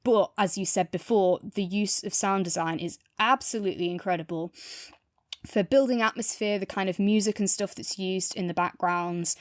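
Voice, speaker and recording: Female podcast co-host, mid-pitched, casual review chat, very dry recording. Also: normal speed, no echo.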